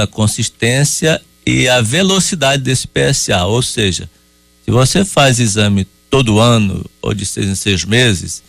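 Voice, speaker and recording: Guy doctor on the radio, gravelly voice, dry close studio sound, explains things slow and steady. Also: medium pitch, no room sound. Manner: calm, explanatory, measured with hesitations. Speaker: urologist guest. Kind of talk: radio call-in medical advice.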